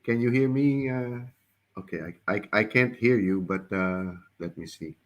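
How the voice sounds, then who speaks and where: A man with a very deep, slightly raspy voice, recovering from the flu, hosts a podcast over a video call. He speaks in a casual, hesitant way, often stopping and repeating his words. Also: normal speed. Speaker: podcast host. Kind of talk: casual online interview.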